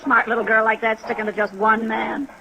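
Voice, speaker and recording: A woman's voice: fairly high pitch, little pitch movement, fairly quick, creaky, poor audio. A woman playing a disapproving, gossipy American landlady in a 1950s radio drama, talkative and emphatic.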